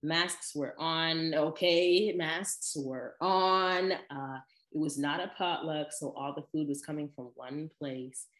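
A young woman with a deep voice, chatting casually and telling personal stories to her viewers, with frequent laughs and lively asides. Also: slow, roomy sound. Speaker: vlogger. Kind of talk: casual personal storytelling to camera.

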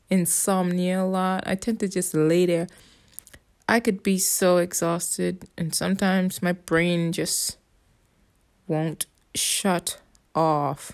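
A woman's voice: lowish voice, a little mellow. A woman from the Bahamas with a Bahamian accent, rambling in a casual monologue, blunt and profane, with an exasperated edge. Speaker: vlogger.

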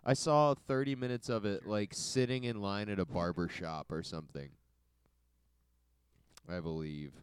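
A man's voice: fairly low pitch, unhurried, long pauses, smooth, dry recording. A man co-hosting a podcast in casual, joking chat with an irreverent, jokey manner. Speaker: podcast co-host.

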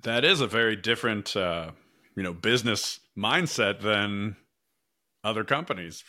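A man's voice: fairly low pitch, normal speed, sharp tone, no echo, clean studio sound. An American man hosting a podcast, chatting casually with a guest in a conversational, self-deprecating way. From Pittsburgh, United States. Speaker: podcast host. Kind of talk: casual podcast interview chat.

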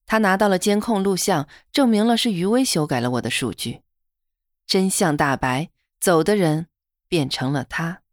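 A woman's voice: lowish voice, no echo, studio quality. An actress playing a modest young female office worker in an audio drama, in first-person narration and dialogue. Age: young adult. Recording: music bed behind the voice.

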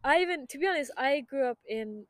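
A woman's voice: high voice, expressive, fairly quick, no echo. Chatty young woman, dry close sound. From Ireland. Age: young adult. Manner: chatty, laughs often, talks faith earnestly. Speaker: podcast guest. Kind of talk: casual chat.

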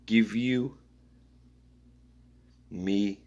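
A man musing aloud in a rambling spoken-word style, reading his own notes in halting fragments. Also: lowish voice, steady tone, a little mellow.

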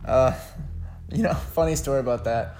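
A man hosting a joking podcast, loud and swaggering with a lot of swearing. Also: medium pitch, normal speed, faint music behind. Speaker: podcast host.

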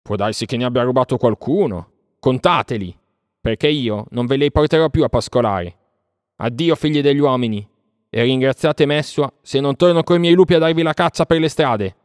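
A man's voice: fairly low pitch, normal speed, a little mellow, dry recording, studio quality, natural voice. A man voicing a proud, bold boy raised in the jungle, speaking emphatically in a storybook reading. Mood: scornful. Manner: emphatic, declamatory.

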